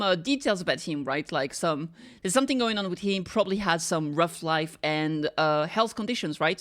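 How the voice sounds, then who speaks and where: A woman's voice: mid-range voice, a bit bright. A woman streamer chatting casually, rambling and thinking aloud as she reacts to games.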